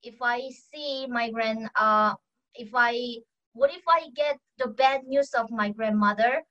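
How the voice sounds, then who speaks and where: Young woman, korean accent, fairly high voice, stops a lot to find the english words, on a video call. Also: fairly bright tone, lo-fi sound. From North Korea. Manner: halting, searching for English words, many pauses. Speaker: guest, defector. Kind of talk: online conversation event.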